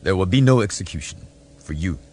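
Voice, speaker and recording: A man playing a shrewd, commanding starship captain in an audiobook, speaking with measured authority. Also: sits low, lively intonation, darkish tone, slight background noise. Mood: pressing, probing. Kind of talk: audiobook character voice.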